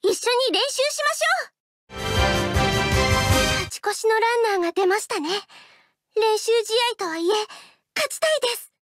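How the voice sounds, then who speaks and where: Teenage girl manager, tiny high voice, polite, gentle, video game. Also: lively intonation, normal speed, pauses often. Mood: shy, earnest. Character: sweet, earnest, shy teenage girl team manager. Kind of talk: video game character voice clips. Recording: game music behind.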